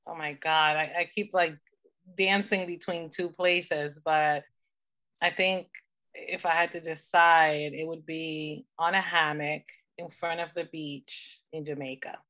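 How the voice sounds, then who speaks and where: A woman's voice: sits low, fairly even intonation, unhurried, bright, forceful tone. A woman from the Dominican Republic speaking as an interview guest, telling personal stories in a candid, informal, conversational way.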